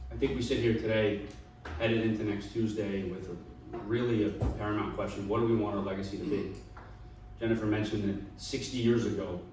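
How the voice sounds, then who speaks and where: A man's voice: on the low side, slight background noise. A man from Long Island making an earnest, persuasive speech at a public panel, often checking in with "right?". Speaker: panel speaker. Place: meeting room. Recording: echoey hall, mic far off.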